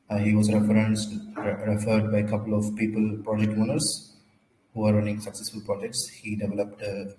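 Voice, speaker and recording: A man with a fairly low voice and a cold talks on a casual livestream, halting and repeating himself as he goes. His delivery stays flat and level. He is heard in an echoey room with a faint hiss of noise behind him. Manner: halting, repeats words and restarts often. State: has a cold, slightly hoarse. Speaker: crypto project founder hosting a livestream.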